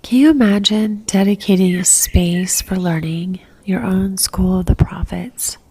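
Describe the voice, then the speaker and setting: Breathy, slow-paced woman guiding meditation. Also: mid-range voice. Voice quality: soft, breathy, near-whispered. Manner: gentle, calm, unhurried with long pauses. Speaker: meditation guide. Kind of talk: guided religious meditation.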